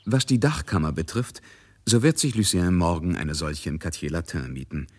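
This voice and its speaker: Male radio-play narrator, low-pitched, husky, literary dramatic storytelling. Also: animated, normal speed.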